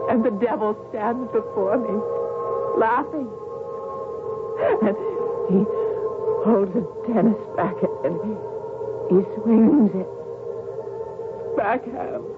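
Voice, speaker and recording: An actress playing a terrified young woman in a radio drama, recounting a nightmare haltingly and dramatically, repeating her words. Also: medium pitch, natural voice. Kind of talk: 1970s radio drama acting. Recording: dull vintage radio recording with music.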